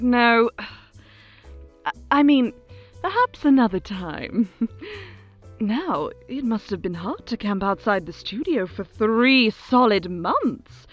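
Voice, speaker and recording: A woman's voice: medium pitch, sing-song, slow pace, muffled recording, faint music behind. A woman playing a regal, self-adoring overlord who hosts her own broadcast in a theatrical, condescending, grandly gracious way in an audio drama. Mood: flustered, appalled. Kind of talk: audio drama acting as a radio show host.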